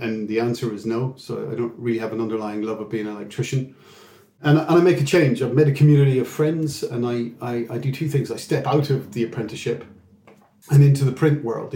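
A man's voice: medium pitch, mellow, roomy sound. A middle-aged man with an Irish accent, talking through his career as an interview guest, with frequent restarts and fillers. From England. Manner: halting, with many restarts and fillers. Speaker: guest executive.

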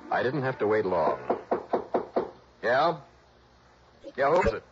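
A man's voice: medium pitch, sing-song, takes it slowly, heavy background noise. An actor playing a tough, wry male investigator, narrating and talking in a clipped, sardonic way in a 1960s radio detective drama. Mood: cool, tough. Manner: clipped, hard-boiled, sardonic. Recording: dull vintage radio recording with music.

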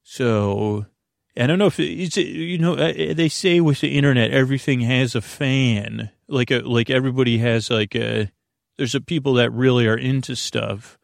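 A man with a creaky, gravelly voice hosting a bedtime podcast in a meandering, halting, folksy monologue full of digressions. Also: mid-range voice, dry recording, studio quality. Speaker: podcast host.

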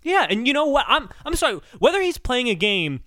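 A man's voice: very high voice, brisk, no echo, clean studio sound. A man co-hosting a comedic podcast in casual chat, joking sarcastically and swearing freely. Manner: sarcastic joking, animated, profane. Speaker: podcast co-host.

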